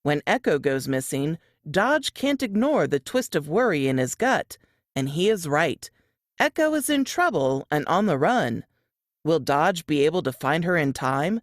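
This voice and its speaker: Expressive woman reading a scripted book promo. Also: low voice, unhurried, no room sound, clean studio sound. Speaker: book blurb narrator.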